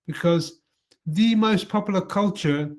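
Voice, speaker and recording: A man from London with a high-pitched voice leads a friendly practice conversation. He speaks politely and steadily, asking simple follow-up questions. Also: unhurried. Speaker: English conversation partner. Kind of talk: casual conversation.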